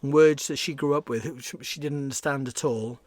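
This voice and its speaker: A Welsh man in his forties chats easily as a podcast guest, often circling back with ums and restarts. His voice sits at a middle pitch and is recorded dry and close. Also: steady tone, a little fast, darkish tone, dry recording. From Wales. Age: 40s. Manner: rambling, many fillers and restarts. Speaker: podcast guest, radio presenter. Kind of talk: casual conversation.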